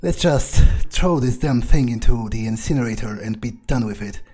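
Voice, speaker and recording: A man's voice: mid-range voice, expressive, normal speed, mellow, lightly acted. A man voicing an arrogant, power-hungry male vampire in a cold, self-assured manner, roleplaying over a video game. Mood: boastful. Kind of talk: in-character roleplay over gameplay. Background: game music.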